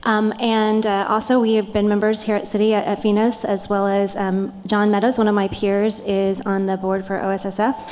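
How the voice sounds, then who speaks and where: A woman panelist introducing herself briskly and upbeat at a conference. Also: medium pitch, little pitch movement, a little mellow. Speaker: panelist, bank security executive. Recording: hall reverb with faint ambience.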